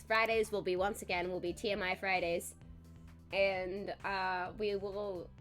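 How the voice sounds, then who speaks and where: A woman hosting a live stream in casual chat, congested and recovering her voice, chatty and quick to laugh. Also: mid-range voice, a bit bright. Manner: chatty, laughs often, self-deprecating. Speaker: streamer host.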